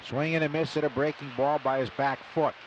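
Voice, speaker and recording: A man with a slightly high voice calls a baseball game live on the radio from the booth. His phrases are short and clipped, rising for the big plays. Clear stadium noise runs behind him throughout. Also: fairly even intonation, brisk, muffled recording. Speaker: radio baseball play-by-play announcer. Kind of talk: live sports commentary. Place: broadcast booth at an outdoor ballpark. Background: loud ballpark ambience.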